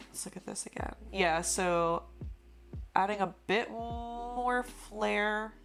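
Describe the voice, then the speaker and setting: Slow, gravelly young woman streaming. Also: mid-range voice, a bit bright, soft background music. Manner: slow, musing, thinking aloud, greets chat. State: losing her voice. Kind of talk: casual live-stream chat while 3D modeling.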